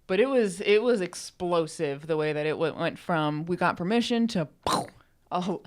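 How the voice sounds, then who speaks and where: Low-pitched woman in casual conversation. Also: expressive, unhurried. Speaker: podcast co-host and writer. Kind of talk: casual chat.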